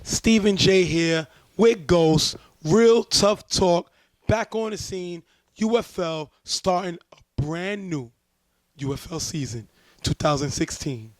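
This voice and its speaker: A man hosting a casual sports talk show, a bit hoarse as he loses his voice, breaking down plays in a chatty way. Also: high-pitched, slow, dry recording. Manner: relaxed, chatty play-by-play breakdown. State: losing his voice. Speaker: show host, sports commentator.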